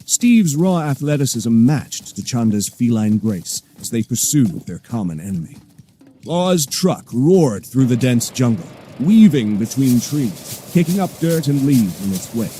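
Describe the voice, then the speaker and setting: A man's voice: medium pitch, big pitch swings, measured pace, mellow, a little hiss or hum. A man narrating an action audio drama from a script in a dramatic storytelling style.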